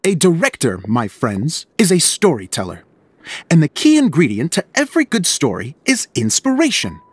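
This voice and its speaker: An actor playing an excitable, flustered middle-aged theater director in a video game. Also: male voice, on the high side, moderate pace, breathy edge, dry recording, heavily acted. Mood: grand. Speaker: theater director and scriptwriter character.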